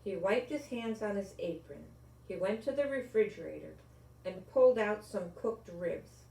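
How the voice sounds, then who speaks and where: An older woman reads a children's story and talks to young viewers in a deliberate, expressive way. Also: medium pitch, vocal fry. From Rhode Island, United States. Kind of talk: children's storybook read-aloud and friendly address to young viewers. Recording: echoey room, distant microphone.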